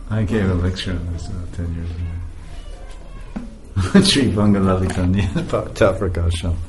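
A man giving an informal spiritual talk to a group, laughing often as he tells stories. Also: deep voice. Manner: laughing often, animated storytelling.

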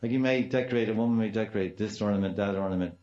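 Older man with a deep voice. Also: rapid-fire, a little mellow. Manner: storytelling with repeated phrases and Sanskrit terms. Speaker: devotional teacher. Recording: dull, slightly muffled lo-fi recording.

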